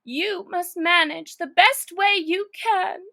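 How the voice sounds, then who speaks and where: A woman's voice: extremely high pitch, takes it slowly, vocal fry, pushed and bright, voice pushed up, heavily acted. A woman voicing a dreamy, fretful little girl who exclaims and muses theatrically to herself in a storybook reading. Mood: plaintive.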